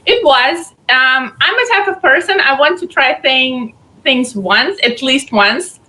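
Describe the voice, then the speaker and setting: A woman's voice: high voice, lively intonation, unhurried, sharp tone, low-quality recording. A woman from Belarus with a strong Belarusian accent, a guest speaking earnestly and with determination in an interview.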